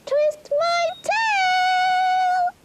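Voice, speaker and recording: An excitable, very high little baby animal. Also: drawn-out words, very noisy, full character voice. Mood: excited. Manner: exclamatory, childlike, eager. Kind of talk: children's show character acting.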